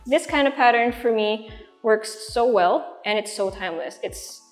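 A woman's voice: a little high, normal speed. A young woman with a Filipino accent presenting a house tour in a casual, conversational way, walking through large empty rooms. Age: young adult. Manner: chatty, conversational. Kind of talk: casual real estate walkthrough presentation. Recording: long reverb of an empty room. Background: music.

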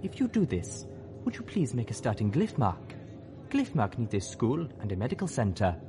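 A man's voice: medium pitch, huge pitch swings, normal speed, background noise. Man playing a solemn, resolute zebra mare. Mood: earnest, pleading.

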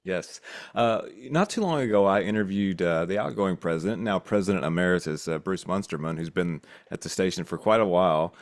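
A man's voice: sits low, brisk, no room sound. A middle-aged man with an American accent, hosting a conversational interview podcast on a close mic. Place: small indoor room. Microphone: close desk boom mic.

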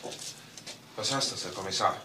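An actor playing a subdued bookseller in a crime radio play, speaking in a measured, sometimes halting way. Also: male voice, sits low, heavy background noise, natural voice. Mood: tense, terse. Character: subdued male bookseller, witness questioned by police. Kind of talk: dramatic radio play acting.

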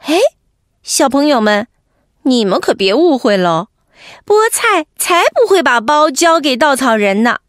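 A woman storyteller narrating an expressive bedtime story for children, animated and speaking to them directly. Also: high-pitched, a little slow, dry recording, studio quality.

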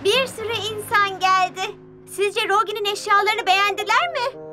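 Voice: very high-pitched, moderate pace. An actor voicing a cheerful, eager little talking bus in a children's cartoon dub. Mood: amazed. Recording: music behind the voice. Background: children's cartoon music.

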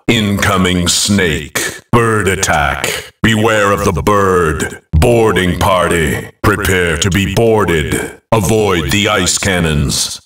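A man playing a dramatic arena announcer in a fantasy video game, calling short phrases with theatrical, deliberate emphasis. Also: low voice, fairly bright tone, reverberant, distant mic.

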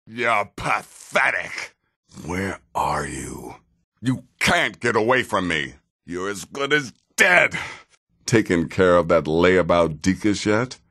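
A very deep, sardonic assassin. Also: male voice, expressive, bright, forceful tone, heavily acted. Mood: threatening. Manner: measured, dry, wry menace. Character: sardonic, seasoned assassin of a dark brotherhood. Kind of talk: video game character dialogue.

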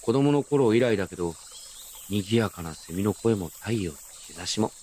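A man playing a gentle, nostalgic grown man who narrates his memories in a reflective, unhurried radio drama style. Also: fairly low pitch, lively intonation, slight background noise, close to an everyday voice. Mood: friendly, musing. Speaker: narrator and lead actor. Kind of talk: first-person radio drama narration with character dialogue.

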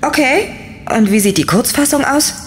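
A woman's voice: high-pitched, lively intonation, normal speed, a little hiss or hum. An actress playing an earnest, curious young woman in a horror audio drama, questioning and sympathetic. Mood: puzzled, curious.

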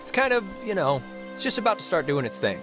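Teenage boy, American, high voice, swooping intonation, goofy laid-back stoner, rambling and defensive, game dialogue over music. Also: big pitch swings, quick pace. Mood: musing. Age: young adult. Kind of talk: naturalistic video game dialogue. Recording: music behind the voice.

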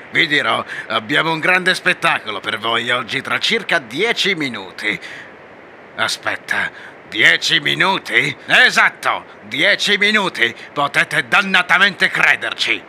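A man's voice: fairly high pitch, very animated, slow pace, creaky, sharp tone, slight background noise, full character voice. A man voicing a swaggering male pirate fox animatronic in a fan dub, with boastful, laughing pirate bluster.

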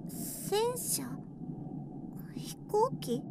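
A woman's voice: extremely high pitch, sing-song, very slow, heavy background noise, exaggerated voice. A woman playing a naive yet resolute young desert captive, earnest and dramatic, in a radio drama. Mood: curious. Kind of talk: Japanese radio drama acting.

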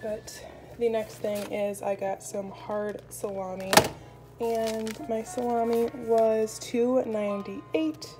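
A woman's voice: a little high, little pitch movement, takes it slowly. Chatty young American woman over music. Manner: chatty, informal. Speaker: vlogger. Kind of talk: casual grocery haul narration to viewers. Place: indoors in a home kitchen. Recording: music playing behind the voice.

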